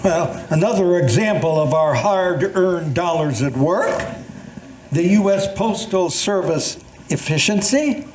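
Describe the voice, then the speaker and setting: A man's voice: high-pitched, lively intonation, unhurried, a little mellow. An older man playing a dry, sarcastic, doubting older friend in an amateur readers theatre performance. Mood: sardonic. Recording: roomy echo with faint noise.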